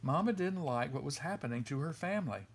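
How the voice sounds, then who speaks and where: A man reading a children's story aloud in an expressive storytelling style. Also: fairly high pitch, fairly quick, husky. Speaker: storybook reader.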